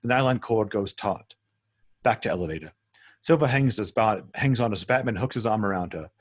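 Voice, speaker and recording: Male narrator, raspy, lowish pitch, matter-of-fact, reading screenplay directions, occasional stumbles. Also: lively intonation, a little fast. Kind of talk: script table read narration.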